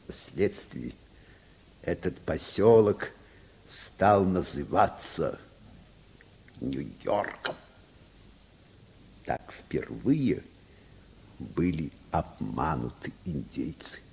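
An actor playing a proud, grave old Mohican chief in a solemn, declamatory mid-century Soviet children's radio play. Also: male voice, rumbling low, very animated, drawn-out words, gravelly, long pauses, darkish tone, a little hiss or hum, exaggerated voice. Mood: solemn, sorrowful.

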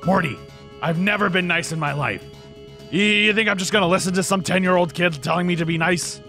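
Cartoon old scientist grandfather: high, croaky, arrogant, brash and stammering. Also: brisk, bright, forceful tone, faint music behind, full character voice. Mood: dismissive, brash. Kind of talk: animated comedy dialogue.

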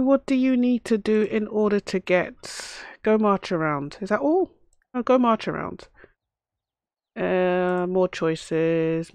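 A woman with a hoarse voice from a cough, chatting casually as she plays a life-sim game and talks to her characters. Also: medium pitch, no room sound. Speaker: let's play host. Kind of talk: casual gameplay commentary.

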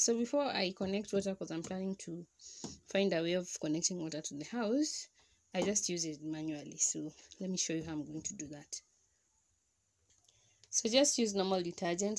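A woman's voice: mid-range voice, darkish tone, a little hiss or hum. A young woman, casually showing at home how she does a chore step by step. She talks loosely, with restarts. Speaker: vlogger. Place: indoors at home beside a washing machine.

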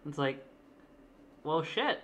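Low-voiced laid-back woman. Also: sing-song, slow pace, a bit bright, slight background noise. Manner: laid-back, laughs often, self-deprecating. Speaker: streamer drawing a guest. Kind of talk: casual chat.

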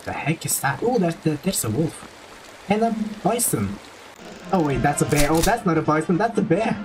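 A man's voice: on the high side, big pitch swings, moderate pace, a crowd in the background, poor audio. Norwegian-accented man laughing through a game. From Norway. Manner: laughs often, rambling explanations. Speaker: streamer. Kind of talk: casual gaming chat. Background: game music.

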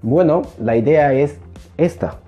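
A young man teaching a language lesson to camera in an emphatic, animated manner, repeating phrases. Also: fairly high pitch, measured pace, faint music behind. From Peru. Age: young adult. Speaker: Spanish teacher. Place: small indoor room, plain wall. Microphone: clip-on lapel mic.

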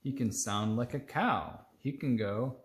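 Woman, picture-book read-aloud, slow pace, playful and expressive, echoey home recording. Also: extremely low pitch. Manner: playful, expressive, acts out sound words. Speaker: storytime reader. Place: indoors, seated close among children.

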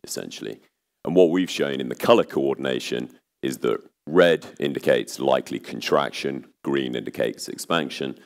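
A man in his thirties with an exceptionally low voice, still recovering from laryngitis, presents at an investor seminar. He talks in a measured way, with many ums and restarts. He is picked up by a lapel mic in a large conference hall. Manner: measured, with frequent fillers and restarts.

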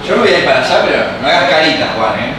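A man's voice: a little high, sing-song, racing, distant mic. Joking man coaching from off-mic. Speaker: casting director. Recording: echoey studio from off-mic, hum.

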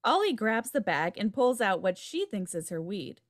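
A woman's voice: medium pitch, big pitch swings, normal speed. Woman reading stage directions. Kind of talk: scripted narration at a table read. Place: indoor room, video call. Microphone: video call microphone.

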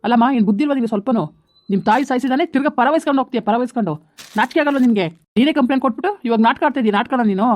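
A woman playing a male police officer who shouts, scolds and insults a woman and her husband. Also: fairly high pitch, racing, lightly acted. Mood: scolding. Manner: shouting, abusive, contemptuous.

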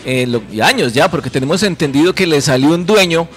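Middle-aged radio host, fairly high voice. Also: male voice, expressive, brisk. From Colombia. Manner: courteous, conversational questioning. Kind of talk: radio interview. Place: radio studio. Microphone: studio microphone.

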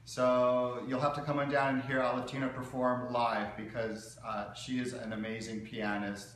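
A man's voice: mid-range voice, level tone, normal speed, a bit bright. Male livestream host, 40s, jovial and chuckly, casual chat, heavy room echo. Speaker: host of a live piano request show. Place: living room beside a grand piano. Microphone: phone or camera a few feet away. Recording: very echoey room, faint background noise.

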